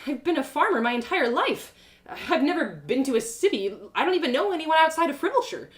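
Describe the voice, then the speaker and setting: An eager, naive young farm woman from a storybook, played by a woman reader. Her voice jumps high and swoops widely, with breathless stammers and a yearning for adventure. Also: sing-song, a little fast. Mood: nervous excitement.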